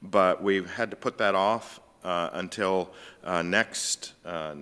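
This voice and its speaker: Very deep, hoarse man with reverb. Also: steady tone, slow, bright, forceful tone. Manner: rambling, with many fillers and restarts. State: scratchy, hoarse voice after an illness. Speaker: co-host of a science discussion. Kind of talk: casual hosted discussion. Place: church hall. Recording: long reverb in a church hall.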